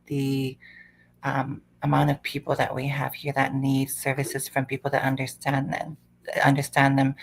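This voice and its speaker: Low gravelly woman. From Samoa. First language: Samoan. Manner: thoughtful, rambling, many "like" fillers. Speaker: panelist. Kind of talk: panel discussion.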